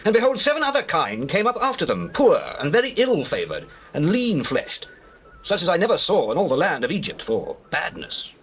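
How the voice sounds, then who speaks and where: High, wildly swooping troubled king. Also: wildly swooping pitch, moderate pace, a bit bright, breathy edge, full character voice. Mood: troubled, intense. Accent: British. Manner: declamatory, theatrical. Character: troubled, commanding king of Egypt recounting his dreams. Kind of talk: dramatized scripture acting in archaic English. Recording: noisy old cassette tape.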